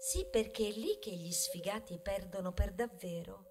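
A woman's voice: mid-range voice, moderate pace, noisy background, close to an everyday voice. A woman playing a talking grain of wheat in a theatrical monologue, wry and indignant, moving between muttered asides and protest. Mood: exasperated. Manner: ironic, conversational, swinging from muttered asides to loud protest. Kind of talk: theatrical stage monologue, ballad-like storytelling.

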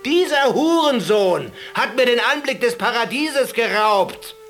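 A man voicing a poor Bedouin shepherd in a radio-play fable, insolent, loud, scornful and fearless. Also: extremely high pitch, expressive, unhurried, soft background music, pitched above the performer's own voice, heavily acted. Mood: scornful, outraged. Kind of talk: storytelling within a radio play.

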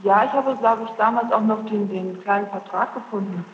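A young woman testifying as a witness under questioning, speaking in a defensive, insistent and halting way. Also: medium pitch. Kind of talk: courtroom testimony. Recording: muffled, noisy archival tape in echoing hall.

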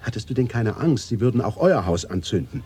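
An actor playing a quiet middle-aged man in a German radio drama, questioning soberly in a raspy, breathy voice. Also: mid-range voice, expressive, fairly quick. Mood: probing. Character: quiet, probing middle-aged man seeking his family's truth.

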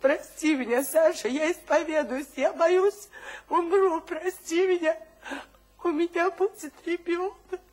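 An actress playing an anguished, penitent wife who failed on the stage, in a theatrical radio drama. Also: female voice, very high-pitched, a little slow, a little mellow. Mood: tearful pleading.

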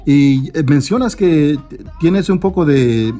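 A man's voice: fairly high pitch, animated, slow pace, dark tone, soft background music. A man hosting a radio interview, enthusiastic and hesitant, with many fillers and restarts. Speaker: radio host.

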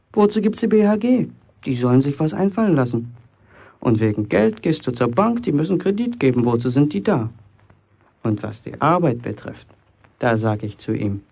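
A man's voice: mid-range voice, creaky, darkish tone. A man acting a cocky, grumbling fifteen-year-old apprentice bricklayer in a German radio play, self-important in his way of talking. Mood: boastful. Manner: boastful, indignant, self-important.

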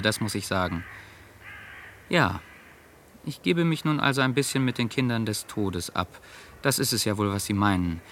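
Low-voiced, earnest young gentleman. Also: slight background noise. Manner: courteous, reflective, measured formal phrasing. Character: earnest, polite, naive young bourgeois gentleman. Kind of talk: literary radio drama acting.

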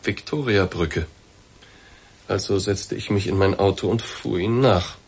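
An actor in a German radio play voicing a formal, persistent male boss, polite, measured and insistent. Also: sits low, breathy edge, exaggerated voice. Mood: polite, explanatory.

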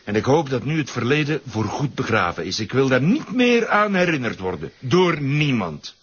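An irritable, uneasy Flemish minister in a radio play. His pitch swings widely and his voice is very husky, with a sardonic, reluctant manner. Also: sing-song, moderate pace, a bit bright, full character voice. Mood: reluctant, firm. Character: uneasy, irritable male government minister with secrets. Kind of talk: radio drama acting. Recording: dull lo-fi radio drama recording.

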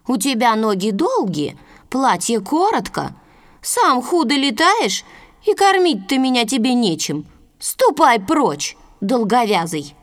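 A woman's voice: on the high side, big pitch swings, slow pace. A woman voicing a haughty, fickle heron in a folk tale, with emphatic storybook delivery. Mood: haughty scorn.

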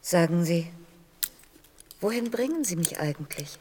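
An actress playing a timid, gentle mother in a radio play, speaking softly and hesitantly. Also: lowish voice, expressive, a little slow. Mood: uneasy, puzzled. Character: narrator's mother, timid, gentle, mild older woman. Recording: distant reverberant sound with clear noise.

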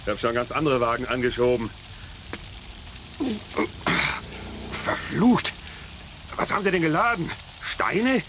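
A man's voice: medium pitch, very animated, normal speed, background noise, lightly acted. An actor playing an officious but gullible, jovial bridge policeman in a crime radio play, bossy and pedantic yet chummy. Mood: stern, officious.

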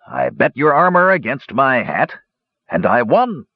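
A man's voice: medium pitch, very animated, a little slow, vocal fry, husky, no echo, narrow, tinny audio, voice pushed up, heavily acted. A man voicing a sheepish, wheedling dwarf gambler in a fantasy audiobook, confessing with hedges and sighs. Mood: hedging. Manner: hesitant, hedging, sighing confessions with folksy turns.

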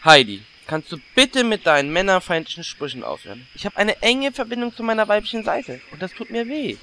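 A man's voice: high voice, very animated, fairly quick, sharp tone, a little hiss or hum, full character voice. A man playing a small, melodramatic orc who whines with fake sensitivity in a comedy audio drama. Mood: hurt, melodramatic. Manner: exaggerated, theatrical whining.

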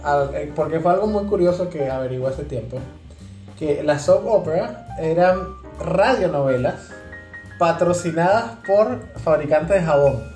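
A man's voice: fairly high pitch, expressive, a little slow, darkish tone. A man co-hosting a podcast in a casual, humorous, explanatory chat. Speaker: podcast co-host. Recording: roomy echo, music behind voice. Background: music.

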